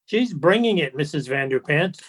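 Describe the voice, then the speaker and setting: A man's voice: fairly high pitch, lively intonation, moderate pace, fairly bright tone, breathy edge. A man as a formal, genteel society go-between. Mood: deferential. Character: deferential, snobbish society go-between, smoothly polite. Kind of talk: radio play acting. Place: remote home recording over video call. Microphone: video call.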